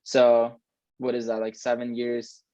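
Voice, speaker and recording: A man's voice: mid-range voice, completely flat delivery, a little slow, warm tone, dry recording. A man in his twenties from Iran, chatting casually and swearing freely, filling his talk with "like" and "right?". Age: 20s. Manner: loose, swearing, lots of "like" and "right?". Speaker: co-host. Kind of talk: casual chat. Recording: dull, dry lo-fi sound.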